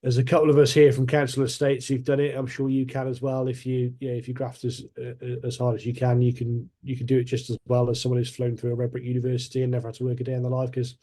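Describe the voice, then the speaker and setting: Mellow, flat-toned man. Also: mid-range voice, fast talker, hardly any pauses. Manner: rambling, hesitant, many restarts. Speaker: panellist. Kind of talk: panel discussion.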